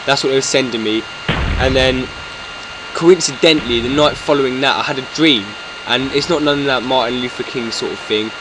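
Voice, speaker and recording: A British young man in his late teens giving hesitant, monotonous gameplay commentary, full of ums and restarts. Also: mid-range voice, a little fast, background noise. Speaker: gaming YouTuber. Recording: loud game gunfire behind. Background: loud video game gunfire and explosions.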